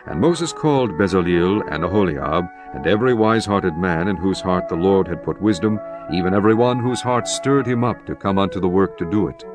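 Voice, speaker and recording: A man reading scripture as a narrator, in a measured, formal scripted style. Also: deep voice. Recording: music bed behind the voice.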